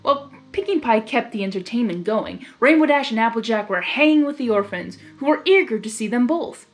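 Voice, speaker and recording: A woman's voice: a little high, lively intonation, a little fast, faint music behind. A woman reading fan fiction aloud informally, unrehearsed, with stumbles and amused asides. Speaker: fan fiction reader and channel host. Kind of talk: informal storytelling read-aloud.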